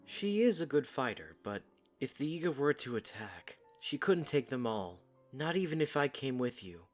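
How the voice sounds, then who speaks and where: A man's voice: mid-range voice, sing-song, moderate pace, faint music behind, lightly acted. A man playing a loyal, protective young royal guard in an audiodrama. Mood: serious, protective. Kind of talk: audiodrama acting.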